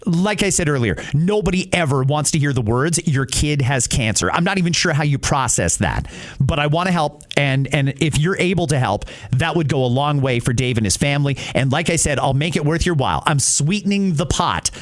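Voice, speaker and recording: A middle-aged man with a fairly high-pitched voice hosts a podcast. He talks casually and straight to the listener, in short conversational phrases, with the ease of a radio personality. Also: animated, fairly quick.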